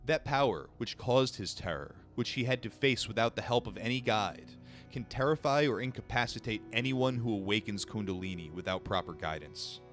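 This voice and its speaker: A man's voice: lowish voice, lively intonation, moderate pace, fairly bright tone, faint music behind. A young man reading a book aloud on a livestream, stumbling over words now and then and breaking off to answer chat in a casual, blunt, swearing way.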